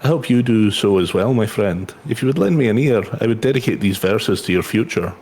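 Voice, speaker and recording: A man voicing a gentle, earnest wandering minstrel from a video game, speaking in a solemn, measured, poetic way, with music behind him. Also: fairly low pitch, expressive, husky. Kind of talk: video game dialogue read aloud.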